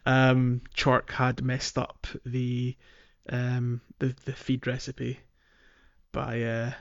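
A slightly lo-fi recording of a man with a mid-range voice. He co-hosts a casual gaming podcast and tells things in a rambling fashion, often pausing and restarting as he goes. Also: mid-range voice, monotone, clear, resonant tone. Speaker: podcast co-host.

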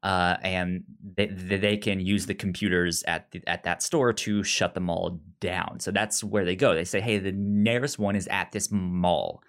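Slow-talking man with a halting delivery. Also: sits low, steady tone. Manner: halting, stammering with repeated word starts. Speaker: film podcast host. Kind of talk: casual movie discussion.

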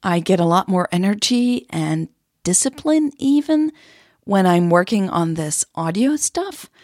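A woman's voice: medium pitch, very animated, measured pace, a little mellow, no echo, studio quality. A woman podcaster who narrates stories and hosts in an informal, chatty way. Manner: playful, self-deprecating, informal. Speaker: podcaster and storyteller, host. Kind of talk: scripted story narration and casual chatty podcast hosting.

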